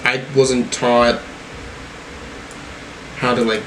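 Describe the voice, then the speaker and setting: A man talks casually through a music review, thinking aloud as he interprets lyrics. His delivery is hesitant and tentative, at a middling pitch. A faint steady hum sits behind his voice. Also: drawn-out words, low-quality recording. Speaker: music reaction video host.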